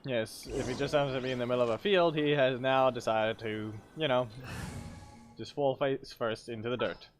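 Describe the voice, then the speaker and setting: A man's voice: mid-range voice, normal speed, noisy background. A man doing casual live gameplay commentary, rambling and thinking aloud as he streams. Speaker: game streamer. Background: game music.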